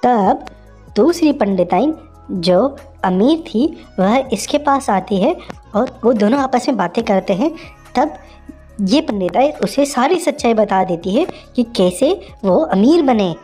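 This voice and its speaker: Female storyteller for kids, plain and chatty, slightly high voice, soft music underneath. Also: animated, takes it slowly. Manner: simple, conversational storytelling. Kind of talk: children's story narration.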